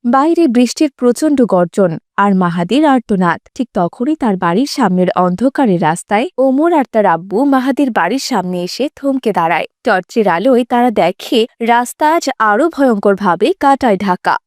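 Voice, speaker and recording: A woman narrates a scripted cartoon story. Her voice is fairly high, and the recording is very dry. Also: expressive, moderate pace, hardly any pauses, a little mellow, no room sound.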